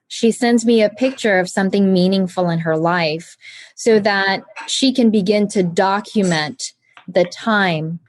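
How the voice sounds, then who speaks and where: A woman doctor as an interview guest, speaking in a calm, measured, earnest way. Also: mid-range voice, no echo. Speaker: guest, oncologist surgeon. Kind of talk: conversational interview.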